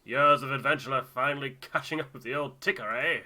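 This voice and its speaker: A man's voice: medium pitch, a little fast, pushed and bright. A man voicing a hearty, stubborn, middle-aged navigator in a game, blustering and emphatic.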